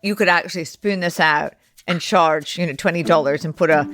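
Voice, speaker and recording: A woman's voice: deep voice, brisk, a bit bright, soft background music. A woman investor chatting casually, effusive and exclamatory in her reactions. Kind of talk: casual conversation.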